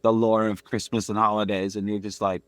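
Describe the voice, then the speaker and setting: A man in his thirties chatting as a podcast guest over a remote call, animated and full of "like" and "dude". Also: lowish voice, fairly quick, crowd noise. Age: 30s. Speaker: guest musician. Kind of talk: casual podcast chat.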